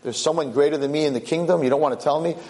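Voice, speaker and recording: A man's voice: a little high, very fast, a little mellow, close to an everyday voice. A man voicing an incredulous, self-important government minister in a told story, asking insistent questions.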